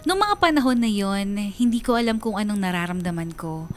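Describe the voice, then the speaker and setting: A woman's voice: mid-range voice, expressive, brisk, slight background noise, natural voice. An actress playing a sorrowful daughter who earnestly narrates her family's story in a radio drama. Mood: tense, numb.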